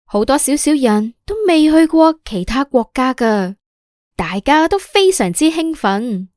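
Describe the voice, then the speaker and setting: A woman's voice: sits high, animated, a little slow, darkish tone, no room sound, clean studio sound. A woman with a Hong Kong Cantonese accent narrating a scripted story for children as a podcast host.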